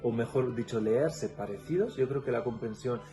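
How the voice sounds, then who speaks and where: A man with a Catalan-tinged Spanish accent speaks as an interview guest. His voice sits at an ordinary middle pitch, and he explains thoughtfully, pausing and restarting as he goes. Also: over music. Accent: Catalan-influenced Spanish. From Catalonia, Spain. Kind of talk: casual interview conversation.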